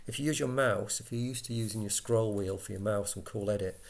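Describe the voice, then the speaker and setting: A man giving an informal software walkthrough, explaining step by step in a conversational way as an instructor. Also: on the low side, a little fast, vocal fry.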